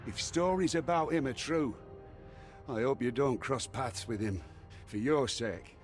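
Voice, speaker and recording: A man's voice: mid-range voice, a little slow, lightly acted. An actor voicing a doubtful, anxious man in a fantasy video game, with a questioning way of speaking. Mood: wary. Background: game music.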